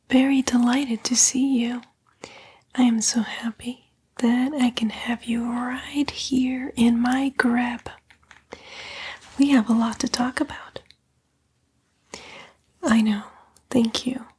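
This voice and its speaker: A woman voicing a smug, seductive female demon, a fallen angel, in a breathy, coaxing ASMR roleplay whisper. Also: a little high, flat delivery, darkish tone, full character voice. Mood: smug, welcoming. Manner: slow, coaxing, taunting, drawn-out whisper.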